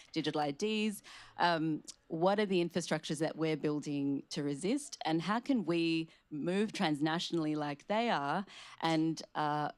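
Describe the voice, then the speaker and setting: Low-voiced Australian panelist, halting. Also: female voice, no echo. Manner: casual, halting with many ums. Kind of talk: panel talk.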